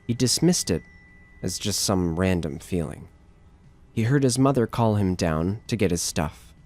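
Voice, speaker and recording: A man's voice: deep voice, a little mellow. A man narrating a scripted horror story as the narrator of an audio drama.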